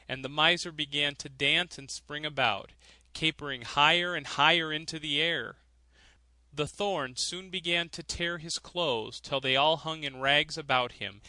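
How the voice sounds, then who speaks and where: Guy reading a fairy tale audiobook, expressive, sharp bright voice a bit on the high side. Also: dry recording. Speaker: audiobook narrator. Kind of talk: storybook narration of a fairy tale.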